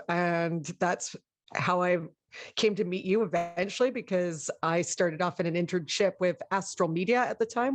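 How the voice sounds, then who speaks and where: A young adult woman from the Lower Mainland with a low voice, chatting as a podcast guest who laughs often and says "like" a lot. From British Columbia, Lower Mainland. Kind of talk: casual interview chat.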